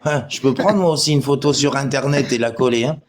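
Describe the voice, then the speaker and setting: A man talks on a livestream in a middle-pitched voice. He is confrontational and crude, swearing and trading abuse with commenters as he goes. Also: brisk. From Portugal. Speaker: live stream participant. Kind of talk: livestream chat replying to commenters.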